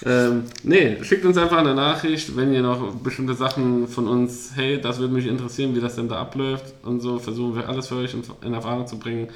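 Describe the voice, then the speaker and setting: Guy co-hosting a chatty podcast, lots of ums, mid pitch, echoey room. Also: fairly quick, few pauses. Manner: relaxed, rambling with many fillers. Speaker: podcast co-host. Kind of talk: casual chat.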